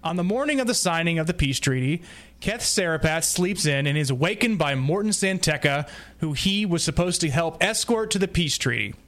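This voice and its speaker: A man's voice: on the high side, expressive, brisk, a bit bright. A man presenting on a podcast, reading a prepared plot summary with informal asides and the odd stumble.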